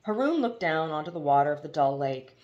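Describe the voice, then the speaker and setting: A woman reading a novel aloud as expressive storybook narration. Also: sits low, fairly quick, lo-fi sound. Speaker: audiobook reader.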